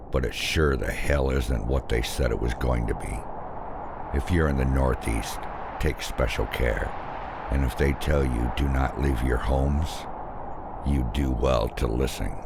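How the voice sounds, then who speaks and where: A man narrating a scripted first-person horror story in an ominous, deliberate way. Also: rumbling low, gravelly, very noisy.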